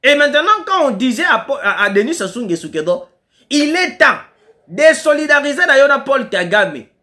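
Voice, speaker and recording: A man's voice: very high-pitched, big pitch swings, moderate pace, sharp tone. A man with a Congolese French accent giving impassioned political commentary, hammering home his points by repeating key phrases.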